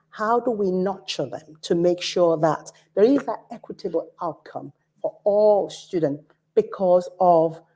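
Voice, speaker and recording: Nigerian woman in her forties, lowish mellow voice, earnest panel speaker telling a personal story. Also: husky. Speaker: panelist, academic director. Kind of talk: conference panel talk.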